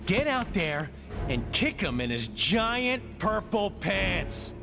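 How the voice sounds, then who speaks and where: Cocky, drawn-out wisecracking hero. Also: male voice, high-pitched, big pitch swings, gravelly, full character voice. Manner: casual, flippant. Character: cocky, easygoing male wisecracking space hero. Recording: game audio under loud music.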